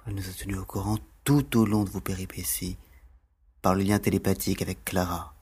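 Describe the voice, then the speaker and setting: A man's voice: sits low, flat delivery, a little fast, a little mellow, breathy edge. A man playing a grave, concerned father with a subdued, serious manner in a science fiction audio drama. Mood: explaining.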